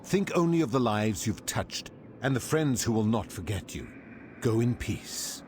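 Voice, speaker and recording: An actor playing a calm, gentle male healer in a game cutscene, speaking slowly in a soothing, measured way. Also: fairly low pitch, noisy background. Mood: gentle, solemn. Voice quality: slow, soothing, measured delivery.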